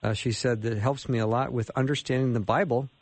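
Male American call-in radio host, casual live delivery, mid-pitched, very dry close sound. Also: steady tone, quick pace, no echo.

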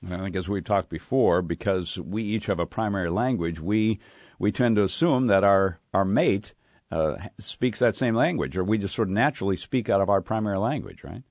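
A man hosting a radio interview, talking in a halting way with frequent fillers. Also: lowish voice, a little mellow, dry recording. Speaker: radio host.

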